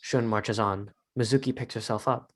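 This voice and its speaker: A man's voice: on the low side, fairly even intonation, normal speed. A man reading stage directions as the narrator of a scripted drama.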